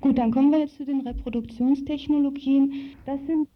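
A woman's voice: high voice, steady tone, brisk, slight background noise. A woman working as a radio reporter, presenting and interviewing in an informal style. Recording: dull lo-fi radio recording.

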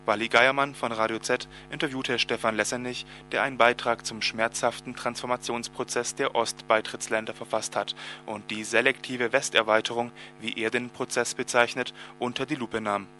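Radiomoderator über deutlich hörbarer Musik. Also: male voice, medium pitch, little pitch movement, normal speed, few pauses, bright, forceful tone. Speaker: radio magazine presenter. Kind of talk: scripted news magazine presentation. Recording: music bed under the voice. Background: music.

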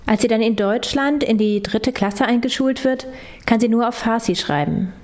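A woman's voice: on the high side, moderate pace, darkish tone. A woman reading scripted narration as the presenter of a radio documentary.

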